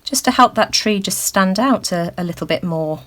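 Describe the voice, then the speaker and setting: A woman hosting a craft tutorial, thinking aloud with lots of ums and a slightly croaky voice. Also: mid-range voice, expressive, normal speed, fairly bright tone. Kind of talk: casual crafting demonstration. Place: indoors at a craft table.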